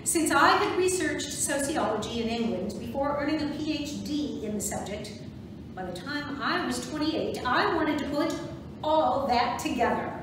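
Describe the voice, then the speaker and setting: Woman acting proud high-voiced suffragist organizer, amateur stage reading, super echoey and distant. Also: expressive, normal speed. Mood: proud, informative. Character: Alice Paul, brisk, proud suffragist parade organizer. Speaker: volunteer docent performer. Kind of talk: amateur reader's theatre monologue. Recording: very echoey, distant, noisy room recording.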